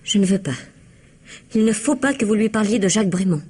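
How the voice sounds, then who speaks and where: An actress playing an indignant, evasive young woman suspected of murder in a radio mystery, with a husky, breathy, flustered delivery. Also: mid-range voice, animated, brisk, a little mellow. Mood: indignant, anxious. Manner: flustered, hesitant, protesting. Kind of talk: French radio mystery drama acting.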